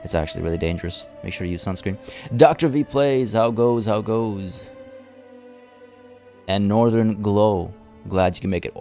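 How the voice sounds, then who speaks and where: A man, a little hoarse, chatting casually as he streams a strategy game from home and greets viewers by name. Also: fairly low pitch, normal speed, pauses often, mellow, faint music behind. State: slightly hoarse. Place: home room by a window.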